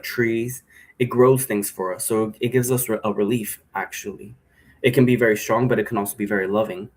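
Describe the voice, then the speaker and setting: A man explaining a role-play game in a casual, improvised, rambling way, often checking for agreement. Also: on the low side. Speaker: game creator explaining rules.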